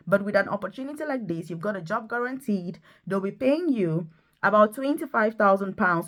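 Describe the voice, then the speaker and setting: A woman with a medium-pitched voice speaks directly to her viewers as a video host. Her delivery is enthusiastic and promotional. She leans on key words and repeats points, urging the listener along. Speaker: video host, nurse and career coach.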